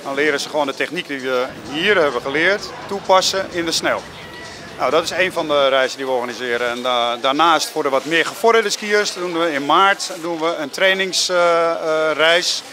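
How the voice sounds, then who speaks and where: A man managing a ski school, giving a promo interview in a halting way with many fillers. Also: on the high side.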